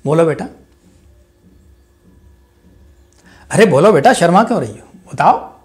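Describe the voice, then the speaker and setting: Guy doing a middle-aged politician dad, slightly high voice, hearty then quiet and cold, dramatic monologue. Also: lively intonation, fast, natural voice. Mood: affectionate. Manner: booming and jovial with party workers, dropping to a hushed, cold undertone with family.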